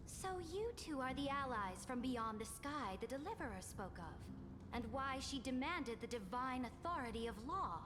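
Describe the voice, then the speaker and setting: A woman's voice: high voice, big pitch swings, normal speed, background noise. A woman playing a proud, commanding ruler in a video game, speaking formally and haughtily. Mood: haughty challenge. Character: imperious female ruler, proud, commanding, challenging. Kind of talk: scripted video game acting. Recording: long reverb, game music behind.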